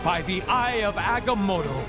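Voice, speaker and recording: An actor playing a solemn, theatrical sorcerer superhero in a video game, declaiming in archaic phrases over music. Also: male voice, high-pitched, lively intonation, moderate pace. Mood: rousing, defiant.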